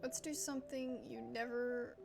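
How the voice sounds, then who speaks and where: High, creaky-voiced woman gamer chatting. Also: fairly even intonation, normal speed, over music. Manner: chatty, informal. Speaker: gaming streamer. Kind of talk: casual let's play commentary, reading game lines aloud.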